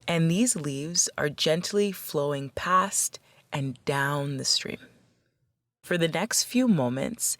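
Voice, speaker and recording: A woman leading a guided meditation as a facilitator, speaking in a gentle, measured, instructional way. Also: sits low, no echo.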